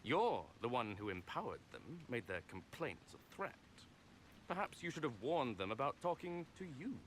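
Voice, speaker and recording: A man's voice: medium pitch, very animated, normal speed, a little hiss or hum, natural voice. An actor playing a smug, scheming nobleman in a video game, pompous and oily with mock courtesy. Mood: coolly dismissive. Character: smug, scheming male nobleman, genteel and condescending.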